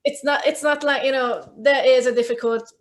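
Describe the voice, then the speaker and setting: A woman speaks as a guest in a casual conversation, her voice sitting somewhat high. She is articulate and emphatic and works through her points aloud. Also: steady tone, normal speed. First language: Hungarian.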